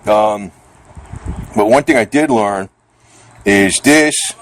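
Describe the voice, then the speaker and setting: Male vlogger, lowish voice, plainspoken and a bit grumbly, rambling through a casual hands-on gear demo. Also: expressive, slow pace, gravelly, a little hiss or hum. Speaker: vlogger demonstrating radio gear. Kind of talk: casual off-the-cuff product demonstration.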